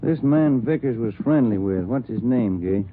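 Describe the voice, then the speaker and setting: An actor playing a plain-spoken, businesslike police detective, in brisk 1940s radio style on a noisy, muffled recording. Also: male voice, mid-range voice, animated, natural voice. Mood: questioning. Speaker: police detective, partner of the lead.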